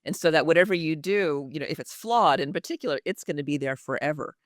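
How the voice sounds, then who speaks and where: A woman's voice: deep voice, fast, dry recording. Woman, communication coach, reflective, earnest, dry studio sound. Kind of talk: interview guest, conversational.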